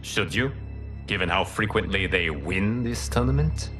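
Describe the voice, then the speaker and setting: Casting: serene game mentor, lower register, formal and measured, with music underneath. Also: male voice, lively intonation. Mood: firm. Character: calm, wise male lord and mentor. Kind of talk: video game cutscene acting.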